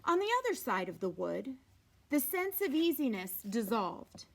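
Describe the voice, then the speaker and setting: A woman reading a storybook aloud with deliberate, dramatic phrasing and informal asides, sometimes dropping to a hush. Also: a little high, big pitch swings, gravelly. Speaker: read-aloud reader.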